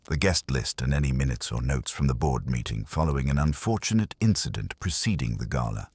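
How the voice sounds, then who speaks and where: Male reader as wisecracking detective's legman: very low, husky, glib, audiobook mystery. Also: animated, fairly quick, darkish tone, no room sound, exaggerated voice. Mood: glib, probing. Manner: glib, smooth-talking, wry. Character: brash, wisecracking male private investigator.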